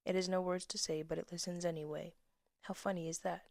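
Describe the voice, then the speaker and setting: A woman, reading her old poems and essay aloud with casual asides, soft-spoken and slipping into whispers. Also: lowish voice, little pitch movement, a little fast, creaky, no echo, studio quality. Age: 20s. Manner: soft-spoken, drifts into whispers, laughs at herself. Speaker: poet reading her own work.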